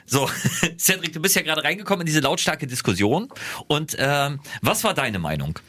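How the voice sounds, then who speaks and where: A male radio host chatting excitedly, often stumbling and restarting his sentences. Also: fairly high pitch, normal speed, no room sound. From northern Germany. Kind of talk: casual chat. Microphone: handheld mic, seated.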